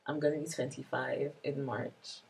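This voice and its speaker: A woman's voice: a bass voice, level tone, normal speed, gravelly, a little mellow, a little hiss or hum, low-quality recording. A woman in her twenties chatting casually and reflectively to her vlog audience, with many "like" fillers. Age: 20s. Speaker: vlogger. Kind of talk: casual year-in-review chat.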